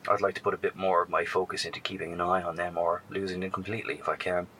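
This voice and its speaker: A faint rumbling noise sits under a very deep, crisp-edged male voice. It is a man acting a careful, kind wasteland survivor in a horror audio drama, measured and a little hesitant as he reports in over the radio. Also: little pitch movement, bright, forceful tone, low-quality recording. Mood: uneasy. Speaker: actor.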